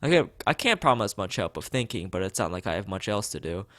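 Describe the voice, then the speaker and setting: A man's voice: lowish voice, very animated, quick pace, fairly bright tone, no echo, clean studio sound. A man reading a visual novel aloud as a streamer, acting out dialogue, dropping in casual asides and sometimes tripping over words. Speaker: let's play streamer.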